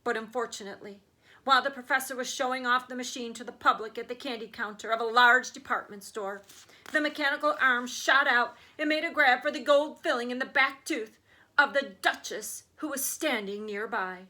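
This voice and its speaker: A woman's voice: on the high side, a little fast, pushed and bright. A woman reading a children's story aloud as a teacher would, with emphatic storytelling phrasing.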